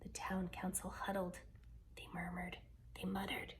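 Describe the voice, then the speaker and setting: A woman reading a children's picture book aloud with expressive, dramatic storytelling delivery. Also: lowish voice, measured pace, in a whisper.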